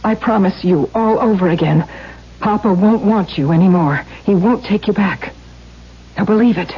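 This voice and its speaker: A bold carnival performer, defiant and protective of her younger sister. The voice is mid-pitched and husky, in a muffled vintage radio play. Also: normal speed. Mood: resolute. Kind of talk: 1970s radio drama acting.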